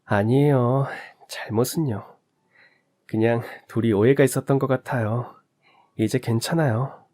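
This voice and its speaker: A man voicing a gentle, forgiving middle-aged wife who speaks softly to her husband. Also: mid-range voice, steady tone, a little slow, lightly acted. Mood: calm.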